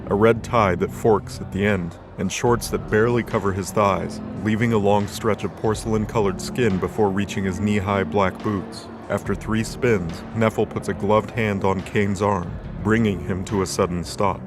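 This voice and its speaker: A man reading scripted, dramatic narration for an audio drama story. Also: deep voice. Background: background music.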